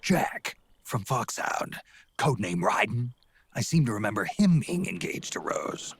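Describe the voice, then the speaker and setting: An actor playing a gruff, world-weary old soldier in a video game, with a very raspy growl and a terse, blunt, suspicious manner. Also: male voice, medium pitch, big pitch swings, a little slow, no room sound, exaggerated voice. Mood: guarded. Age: older. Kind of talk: dramatic video game voice acting.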